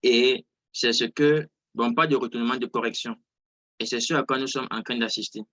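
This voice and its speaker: Male online trading instructor, non-native French, bright projected tone, dry close sound, explanatory, restates key words. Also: medium pitch, steady tone, moderate pace, dry recording. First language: not French. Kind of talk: informal live online lesson, explaining. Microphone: close mic on an online video call.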